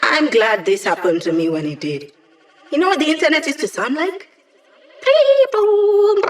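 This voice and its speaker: An actress voicing a wry, sarcastic young female tech hacker in a video game, talking in a casual, teasing, quippy way. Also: medium pitch. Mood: briskly instructive. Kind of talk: scripted video game character dialogue.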